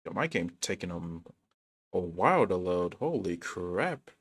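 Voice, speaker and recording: A man's voice: low-pitched, big pitch swings, unhurried. A man chatting casually on a gaming livestream in laid-back, slangy bro talk. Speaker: game livestreamer. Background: game music.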